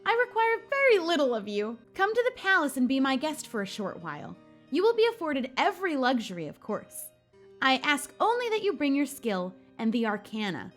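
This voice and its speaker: A woman's voice: high-pitched, sing-song, normal speed, a bit bright, faint music behind. A woman voicing an elegant, imperious countess in a formal, commanding manner, as read from a visual novel game. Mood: composed, commanding. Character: imperious, elegant countess haunted by troubling dreams.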